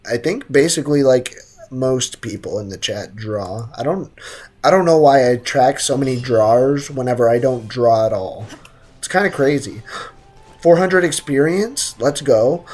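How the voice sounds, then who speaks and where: Very low-voiced woman streaming a game. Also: darkish tone, breathy edge. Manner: slangy, teasing, reactive. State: slightly sick throat. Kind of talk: casual live gameplay commentary.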